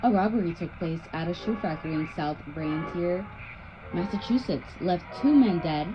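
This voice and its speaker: Music plays clearly behind a slightly dulled recording of a young woman. She hosts a 1920s-style radio news bulletin from a script, reading in a formal, theatrical announcer's manner. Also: on the low side, normal speed. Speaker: radio show host and news reader. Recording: muffled lo-fi audio with music behind.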